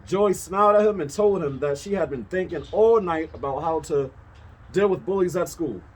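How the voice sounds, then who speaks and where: A man reading a children's story aloud as a teacher would, with expressive, emphatic delivery. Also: fairly high pitch, moderate pace, breathy edge, rough recording.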